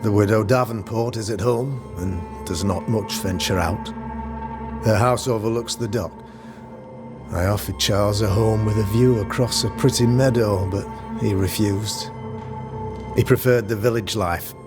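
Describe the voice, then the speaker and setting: An actor playing a solemn, self-regarding older town governor in a video game, speaking in formal, measured, archaic phrasing. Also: male voice, lowish voice, lightly acted. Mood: grave. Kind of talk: dramatic video game acting. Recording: music under the voice.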